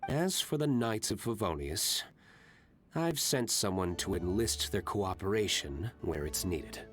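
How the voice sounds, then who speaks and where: Self-assured vigilante aristocrat for a video game: low voice, reserved, cool, measured delivery. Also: male voice, animated, fairly bright tone. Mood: serious, matter-of-fact. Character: cool, aloof young nobleman vigilante, composed and self-assured. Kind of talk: anime-style video game voice acting. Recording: background music under the voice.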